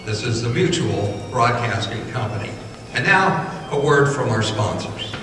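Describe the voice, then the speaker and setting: A man's voice: mid-range voice, expressive, measured pace. A man with an American accent doing old-time radio announcing and ad reading. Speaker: announcer and Foley artist. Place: performing arts center stage. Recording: echoey hall, distant mic, faint music.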